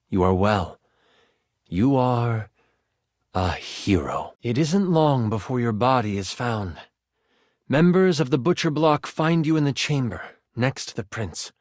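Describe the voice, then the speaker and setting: A man playing an excitable game master in a video game, narrating a fantasy adventure with theatrical, suspenseful expression. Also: medium pitch, no room sound, clean studio sound. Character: personified twenty-sided die, an excitable male game master. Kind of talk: dramatic tabletop fantasy narration.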